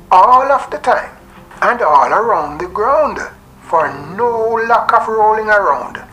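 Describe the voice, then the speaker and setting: A woman's voice: on the low side, fairly bright tone, rough recording. A woman reading scripted poetic narration in a theatrical, rhyming storytelling manner.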